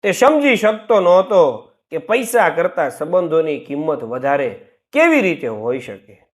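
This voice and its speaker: Expressive male storyteller. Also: fairly high pitch, a little slow. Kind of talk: storybook narration.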